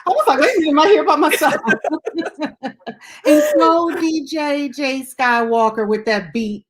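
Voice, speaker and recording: A woman's voice: high voice, animated, normal speed. A woman co-hosting a casual music chat show as a DJ, talking in an informal, chatty and giggly way. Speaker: radio DJ and co-host.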